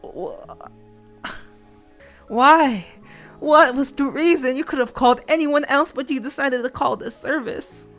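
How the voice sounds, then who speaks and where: Lady doing a nervous maned guy stuck in customer service, high voice, stutters, easily flustered, bit of music. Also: a little slow, pauses often, a bit bright. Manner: jittery, stammering, easily rattled. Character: vain, flustered male with a mane, reluctant phone worker.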